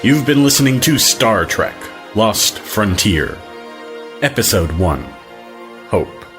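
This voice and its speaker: A man's voice: lowish voice, animated, slow, a bit bright, faint music behind. A man announcing the credits of an audio drama in a scripted style.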